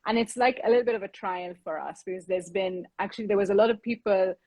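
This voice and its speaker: A woman, co-founder of a bamboo architecture school, explaining plans in a relaxed interview. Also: medium pitch, fast talker, no room sound. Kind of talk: casual interview talk.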